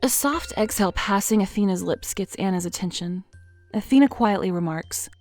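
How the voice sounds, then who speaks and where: A woman narrating an audio drama in a dry, measured storytelling manner. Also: medium pitch, darkish tone, no echo, faint music behind. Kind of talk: scripted narration.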